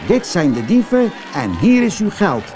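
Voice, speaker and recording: A man's voice: sits high, wildly swooping pitch, normal speed, a crowd in the background, noisy background, pitched above the performer's own voice. A man voicing a brave, confident little baby superhero in a children's storybook. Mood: matter-of-fact.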